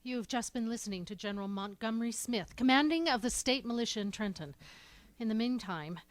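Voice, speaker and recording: A higher-voiced woman as a radio news announcer in a 1930s-style drama, reading urgent bulletins and tripping over her words. The sound is dry and close. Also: expressive, no room sound, close to an everyday voice. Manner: hurried, stumbling bulletin reading. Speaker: studio announcer.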